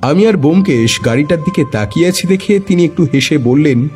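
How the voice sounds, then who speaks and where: A man's voice: fairly high pitch, expressive, fairly quick, darkish tone, close to an everyday voice. A man narrating as a wry storyteller companion. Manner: wry, dryly humorous. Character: wry male companion narrating in first person. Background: faint background music.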